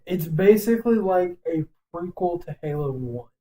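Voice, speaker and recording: A man's voice: sits high, animated, normal speed, warm tone, low-quality recording. A man co-hosting a podcast, reacting eagerly in casual, sweary chat. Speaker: podcast co-host.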